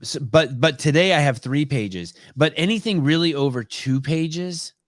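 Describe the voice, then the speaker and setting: A man hosting a casual interview podcast, a native Armenian speaker, talking in a halting way with repeated phrases and restarts. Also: a little high, animated, no room sound, clean studio sound. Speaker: podcast host.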